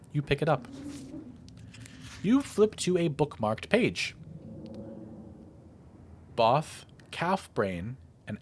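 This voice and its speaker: Guy doing a lets play, reads the game text out loud real expressive, pitch all over, some noise behind him. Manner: expressive, theatrical read-aloud delivery. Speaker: let's play host. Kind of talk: game playthrough, reading narration aloud with commentary.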